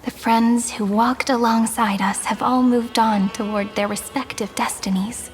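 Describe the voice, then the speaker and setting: A woman as a soft-spoken gentle prophetess. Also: on the high side, steady tone, a little slow, lightly acted. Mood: solemn. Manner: soft, solemn, reverent. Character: gentle wistful young woman prophesying a new world. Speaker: game character. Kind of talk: game cutscene voice acting. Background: orchestral music.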